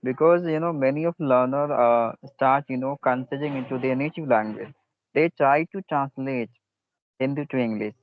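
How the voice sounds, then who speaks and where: A man coaches a learner over an online call. He speaks in short advisory phrases full of "you know" fillers, at a mid pitch. The audio is dull, lo-fi and dry. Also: moderate pace, no echo. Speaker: English speaking coach and host. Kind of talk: conversation practice call.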